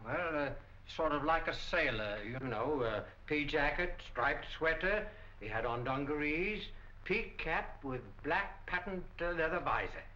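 A man's voice: fairly high pitch, lively intonation, sharp tone. A man in his sixties playing a mild, hesitant witness in a 1940s film. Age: 60s. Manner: hesitant, with many pauses. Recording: dull, noisy 1940 film soundtrack.

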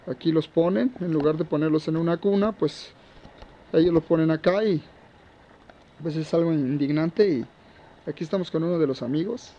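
A man's voice: on the high side, normal speed, dark tone, lo-fi sound. A man reporting on a walking tour of a barn shelter, talking with many fillers and sounding indignant. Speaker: reporter touring a refugee shelter. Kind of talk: walking tour commentary and interview. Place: wooden cattle barn indoors.

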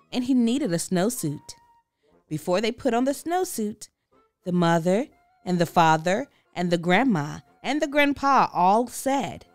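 A woman reading a children's story aloud expressively, with theatrical, deliberate emphasis. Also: fairly low pitch, no echo. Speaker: storybook reader.

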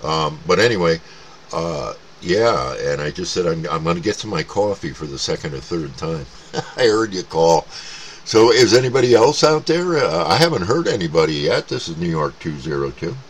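A man's voice: sits low, very animated, normal speed. An American man chatting casually as a CB radio operator, using radio jargon. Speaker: CB radio operator and channel host. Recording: faint background noise.

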